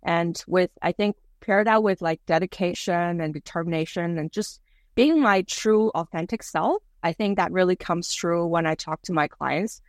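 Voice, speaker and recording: Female interview guest, native Cantonese speaker, lower register, chatty delivery, close dry sound. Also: moderate pace, no room sound. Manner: chatty, frequent "like" and "you know". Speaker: interviewee, mortgage broker. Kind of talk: casual interview answers.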